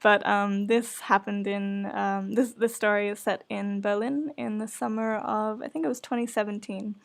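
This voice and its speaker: A woman's voice: mid-range voice, fairly even intonation, normal speed, creaky. A woman co-hosting a live podcast event, chatting casually and informally, often with a giggle. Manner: informal, bubbly with frequent laughter. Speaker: podcast writer and co-host.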